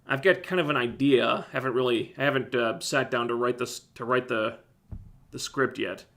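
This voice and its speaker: A man hosting a casual livestream, with a phlegmy, scratchy throat, talking in a relaxed, rambling way that keeps breaking off and restarting. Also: medium pitch, moderate pace. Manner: relaxed, rambling, self-interrupting. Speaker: livestream host reviewing TV shows. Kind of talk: casual livestream chat answering viewers.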